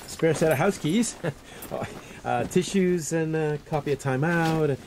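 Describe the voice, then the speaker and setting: A man's voice: on the high side, unhurried, a little mellow, slight background noise. An actor playing a nervous, eager-to-please voice-over man in a naturalistic radio drama, hesitant and stammering. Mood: flustered.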